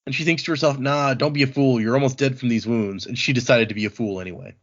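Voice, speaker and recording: Male podcast co-host, average-pitched, informal read-along storyteller who paraphrases dialogue in character; slightly dull recording. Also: quick pace. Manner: informal, colloquial, voices characters' lines in paraphrase. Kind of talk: casual chatty retelling of a story with commentary.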